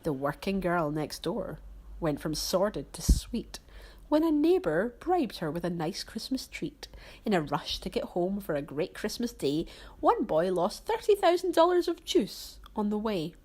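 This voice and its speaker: A woman reading rhyming storybook narration as a storyteller, with theatrical, drawn-out emphasis. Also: mid-range voice, very animated, darkish tone.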